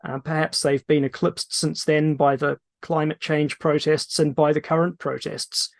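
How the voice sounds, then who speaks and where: A man answering audience questions at an online political meeting, talking in a halting way with many restarts and fillers over a video call microphone. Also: on the high side, level tone, creaky. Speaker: main speaker answering questions.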